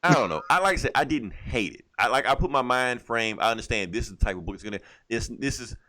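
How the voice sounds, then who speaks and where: A man's voice: mid-range voice, animated, fast talker, bright, forceful tone, no echo. A man hosting a comic book podcast, chatting casually through a review in blunt, slangy, profane language.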